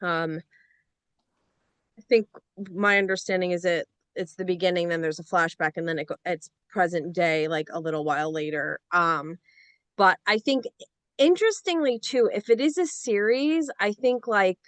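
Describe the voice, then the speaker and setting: A woman's voice: on the low side, normal speed, clean tone, no echo. A woman on a reading panel giving casual feedback, tentative and peppering her sentences with filler words. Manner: tentative, frequent use of "like".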